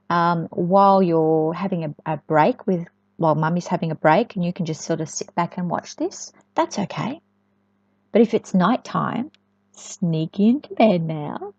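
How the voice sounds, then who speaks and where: Animated woman storyteller for young children. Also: on the low side, normal speed, creaky, dry recording, dull audio. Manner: chatty asides, repeats words for emphasis.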